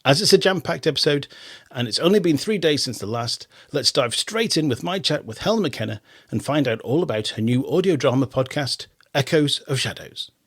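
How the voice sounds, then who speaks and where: Brief: man hosting a podcast, husky, animated intonation, close dry sound. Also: mid-range voice, brisk, no room sound. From Isle of Man. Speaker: podcast host. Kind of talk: radio podcast presenting and chat.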